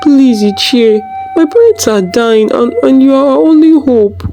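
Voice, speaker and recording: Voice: high-pitched, big pitch swings, unhurried, mellow, faint music behind, voice pushed up, full character voice. A narrator voicing a desperate orphaned young girl in a folktale, urgent and headstrong. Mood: desperate pleading. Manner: pleading, urgent.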